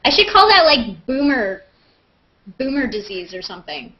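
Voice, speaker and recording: A woman's voice: sing-song, moderate pace. A woman chatting casually and jokingly as the host of a group video hangout. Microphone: video call. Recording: muffled low-fidelity video call audio.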